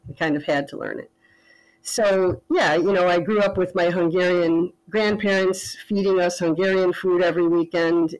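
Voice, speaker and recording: A woman author talking as a podcast guest, thoughtful and measured, now and then pausing on fillers. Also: on the low side, rough recording. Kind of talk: casual interview chat.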